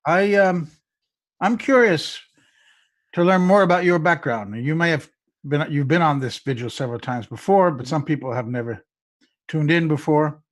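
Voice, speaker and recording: A man's voice: on the high side, normal speed. A man hosting an interview over a video call, with a friendly, joking manner. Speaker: host.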